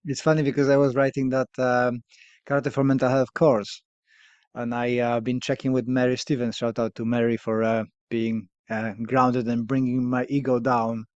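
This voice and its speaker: A man from Poland with a Polish accent, speaking English as a second language, hosting a podcast in a candid, hesitant way with fillers and soft laughs. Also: medium pitch, little pitch movement, moderate pace, clean tone, no room sound, dull audio. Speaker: podcast host. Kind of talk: candid casual conversation.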